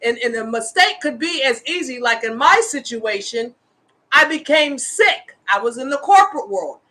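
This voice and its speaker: A woman's voice: high voice, expressive, normal speed, bright, forceful tone. A woman in her fifties hosting a casual live show, speaking with earnest, impassioned conviction as an advocate. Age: 50s. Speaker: host, homeless women's advocate. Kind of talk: casual livestream talk.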